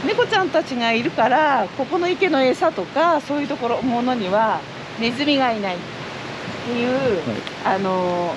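Middle-aged woman, high lively voice, earnest and chatty, outdoor interview, rushing water noise. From Japan. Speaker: trout farm representative. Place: outdoors beside fish ponds.

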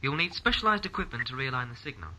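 An actor playing a deferential, scheming clone scientist in a science-fiction audio drama, speaking politely and formally. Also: male voice, medium pitch, expressive, fast talker, noisy background, lightly acted. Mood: servile, hesitant.

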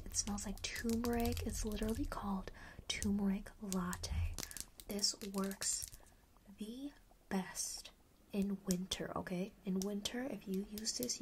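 A woman speaking in a breathy, mostly unvoiced whisper, playing a chatty, coaxing shopkeeper in a casual ASMR roleplay. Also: medium pitch, background noise. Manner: coaxing, chatty, checks in often with the listener. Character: witchy perfume shop seller, friendly and persuasive. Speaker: ASMR performer playing a fragrance shop keeper. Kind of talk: whispered ASMR roleplay, casual sales talk to one customer.

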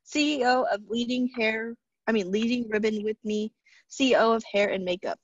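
A woman's voice: fairly high pitch, moderate pace, no room sound. A young woman casually reading tributes aloud over a video call. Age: young adult. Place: indoor room at home on a video call. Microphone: video call laptop mic. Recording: dull, lo-fi video call audio.

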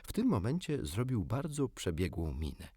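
Low-voiced, animated male storyteller. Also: normal speed, slightly rough, no room sound, studio quality. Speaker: audiobook narrator. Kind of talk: expressive scripted storybook narration.

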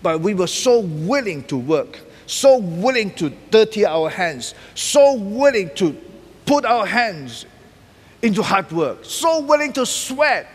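A middle-aged male pastor preaching with a high, lively voice that leaps up and drops low for emphasis. He speaks slowly and deliberately, hammering single words again and again. The sound carries a long reverb from a large church hall. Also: husky. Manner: emphatic, repeats key words, pacing and gesturing widely. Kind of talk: sermon. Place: large church stage decorated for Christmas.

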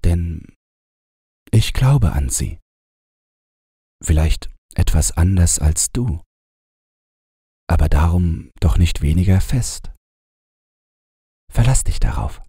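An earnest, heartfelt husband from a fairy tale, read by a male storyteller, with a sorrowful weight to him. The voice is low and very husky, measured in its delivery. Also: animated, mellow, no echo, studio quality, heavily acted. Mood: firm, heartfelt.

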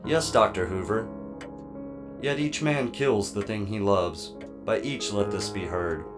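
A man's voice: fairly low pitch, unhurried, lightly acted. Man acting a naive artificial man over music. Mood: solemn. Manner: formal, literal, questioning. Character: naive, earnest artificial man, childlike and curious. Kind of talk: audio drama acting. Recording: music under the voice.

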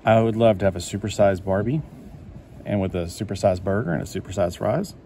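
Guy flipping thru a magazine at a table, kinda low mellow voice, rambly, faint hum behind. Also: fairly quick, creaky. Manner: rambling, musing aloud, easily delighted. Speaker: doll collector flipping through a magazine. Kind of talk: casual chat over a magazine flip-through. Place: indoors at a table.

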